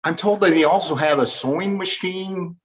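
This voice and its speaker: A man's voice: high-pitched, normal speed, low-quality recording. A man hosting a panel interview, politely putting questions to his guests. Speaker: host interviewer.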